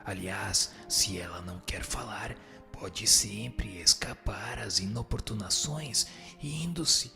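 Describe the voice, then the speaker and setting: A man playing a solemn spirit who answers questions with moral authority, in a measured, formal, didactic radio drama reading. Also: fairly low pitch, vocal fry, full character voice. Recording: music bed under the voice.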